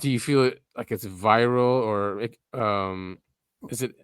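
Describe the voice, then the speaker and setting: A man co-hosting a podcast in casual chat, relaxed, filling his speech with "yeah" and "um" and letting his sentences drift and trail off. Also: lowish voice, a little slow, smooth, no echo, clean studio sound. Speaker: podcast co-host.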